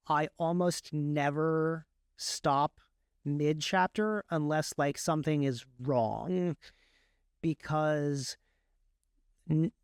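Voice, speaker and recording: A man's voice: fairly high pitch, smooth, no room sound, clean studio sound. A man, a guest on the show who writes and narrates audiobooks, talking casually and explaining his process step by step. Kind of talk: casual interview talk.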